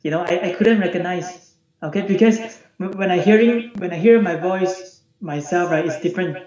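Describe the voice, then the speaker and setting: A man with a high-pitched, youthful, unbroken voice, recounting his situation in a repetitive, conversational way as a client on an online call. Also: moderate pace, a little mellow. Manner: repetitive, says "you know" often. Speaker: client, accountant. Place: remote video call. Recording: echoey, muffled online call with background chatter.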